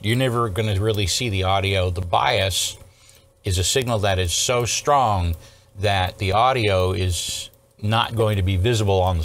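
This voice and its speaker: Older man explaining a technical demonstration. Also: on the low side. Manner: methodical, explanatory, often checking in with "okay". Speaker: host, electronics restorer. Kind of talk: casual hands-on technical demonstration. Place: indoor workshop studio at a workbench.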